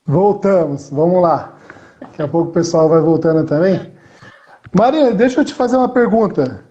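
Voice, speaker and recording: A man hosts a live interview over a video call. His voice is a little high and warm, and his phrasing is measured and formal. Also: expressive, muffled recording. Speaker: host, physical education teacher.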